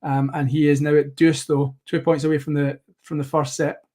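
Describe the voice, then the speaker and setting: Rambling, slightly hoarse male commentator. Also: on the high side, level tone, normal speed, a little mellow. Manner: rambling, self-correcting, many fillers. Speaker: host of a live tennis watchalong. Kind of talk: live match commentary.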